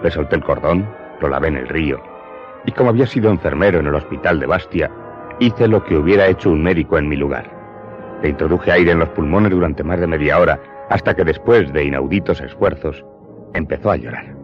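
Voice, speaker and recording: Former smuggler, deep voice, solemn measured confession, audio drama, faint music behind, dull sound. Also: male voice. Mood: grave. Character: Corsican steward and former smuggler confessing his past. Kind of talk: dramatic first-person storytelling in an audio drama.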